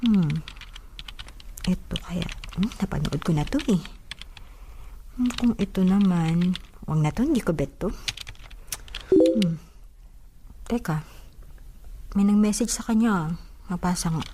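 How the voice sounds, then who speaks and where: A woman's voice: lowish voice, animated, takes it slowly, pauses often, dark tone, a little hiss or hum. A Filipino-accented woman playing a jealous, easily offended young girlfriend in a radio drama, with a confrontational, sarcastic manner. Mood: casual, then suspicious. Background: background music.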